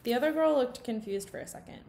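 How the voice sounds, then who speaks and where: A woman reading a children's novel aloud in an expressive storytelling style. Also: a little high, fast, vocal fry.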